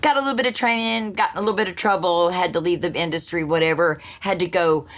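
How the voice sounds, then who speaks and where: A woman's voice: lowish voice, fast talker, a bit bright. A woman who hosts her own channel, giving a blunt, sarcastic political monologue full of profanity and restarts. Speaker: political commentary host. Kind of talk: casual opinion monologue.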